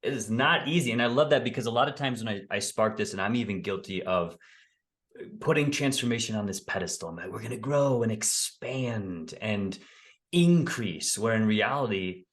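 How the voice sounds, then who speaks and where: Low-voiced enthusiastic male host. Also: animated, moderate pace. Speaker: podcast host. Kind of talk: warm interview conversation. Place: home office with bookshelves. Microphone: desk condenser mic, video call.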